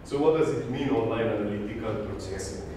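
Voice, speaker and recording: A man's voice: fairly low pitch, brisk, recorded from a distance. A middle-aged man from Slovenia with a Slovenian accent, giving a technical conference talk and naming items one by one with light self-deprecating humour. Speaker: conference speaker. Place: large conference hall. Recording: echoey hall, speaker off-mic, audible noise.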